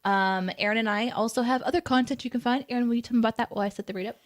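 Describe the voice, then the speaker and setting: Woman co-host, casual storyteller, animated, dry close mic. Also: fairly high pitch, very fast, no room sound. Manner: animated, laughs easily. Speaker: co-host of a live morning stream.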